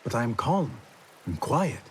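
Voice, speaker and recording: Calm young novice assassin, faint noisy ambience. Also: male voice, medium pitch, a little slow. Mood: calm, earnest. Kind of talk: video game cutscene acting.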